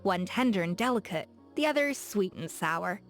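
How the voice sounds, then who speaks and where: A woman's voice: mid-range voice, moderate pace, close to an everyday voice. An actress playing a confident, playful young woman chef in a game, self-assured and curious, with faint music behind her. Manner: self-assured, teasing, lively. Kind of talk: game character voice lines.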